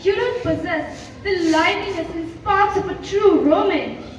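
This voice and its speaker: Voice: extremely high pitch, normal speed. A teenage student with an Indian accent acting a scornful, goading Roman conspirator in a school stage play. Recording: dull, distant, echoey stage with hiss.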